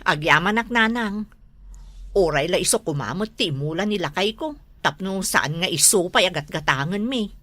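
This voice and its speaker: A woman's voice: lowish voice, animated, moderate pace, pushed and bright, dry recording. A woman playing an exasperated younger wife in a comedy drama, complaining and scolding with a northern Philippine accent. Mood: grumbling. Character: exasperated nagging younger wife of a lazy husband.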